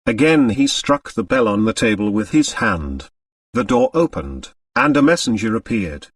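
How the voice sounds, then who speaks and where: A man's voice: fairly low pitch, big pitch swings, moderate pace, no echo, studio quality, recorded from a distance. A man reading literary fiction as an audiobook narrator, in a scripted storytelling style.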